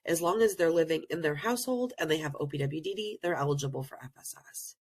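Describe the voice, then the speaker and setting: Hoarse woman giving a webinar talk. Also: low voice. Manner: earnest, explanatory, many pauses. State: hoarse, recovering from illness. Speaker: presenter and program director. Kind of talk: webinar presentation. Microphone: video call.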